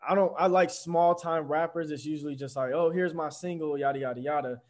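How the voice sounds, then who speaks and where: A man asks a rapper about his music, speaking in a loose, chatty way. His voice sits a little higher than most men's. He leans on "like" and restarts his sentences often. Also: steady tone, fairly quick, a little mellow. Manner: chatty, many "like" fillers. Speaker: interviewer. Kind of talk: casual interview questions.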